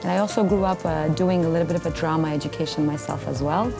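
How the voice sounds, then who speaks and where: A woman with a fairly low voice and an Armenian accent speaks in an interview style. She is in her thirties, and music plays clearly behind her. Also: fast talker. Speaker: cellist and vocalist. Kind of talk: interview testimonial. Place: indoor backstage area, seated.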